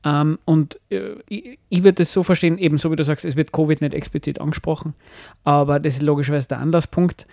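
A man's voice: on the high side, steady tone, a little fast, gravelly, a little mellow, dry recording, clean studio sound. A man, co-hosting a podcast, talking thoughtfully with many hesitations and restarts. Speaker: podcast co-host. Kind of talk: casual discussion.

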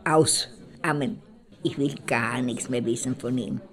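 A woman's voice: extremely low pitch, unhurried. A woman playing an older, jilted woman in an audio drama, rambling in a wistful, resigned way. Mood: bitter, resolute.

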